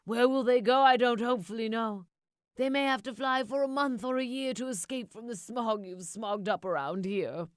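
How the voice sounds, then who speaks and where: A woman voicing a small, indignant old male creature from a storybook, scolding in emphatic rhyme with a croaky edge. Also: on the high side, normal speed, no echo, studio quality, pitched above the performer's own voice, lightly acted. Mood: pleading. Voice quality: croaky, coughing. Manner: scolding, emphatic, rhyming speech.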